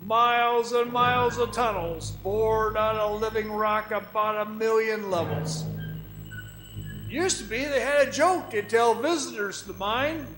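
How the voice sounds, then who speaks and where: High-voiced, haunted old-time miner played by a man. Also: roomy sound, over music, rough recording. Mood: folksy, wry. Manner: folksy, halting, conversational, with ominous pauses. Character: weary, haunted former copper mine guide telling his story. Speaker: radio drama actor. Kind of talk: radio drama monologue, folksy storytelling. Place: performing arts center stage.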